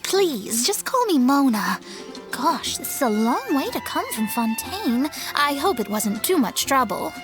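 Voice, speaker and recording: A woman voicing a proud, polite young female astrologist in a video game, refined and expressive. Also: high voice, unhurried, music in the background. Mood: gracious. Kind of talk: animated video game voice acting.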